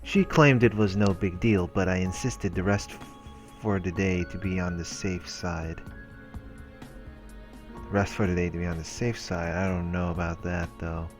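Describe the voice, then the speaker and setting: A man's voice: sits low, steady tone, normal speed, over music. A man reading visual novel text aloud as a let's play host, in a casual way with offhand asides.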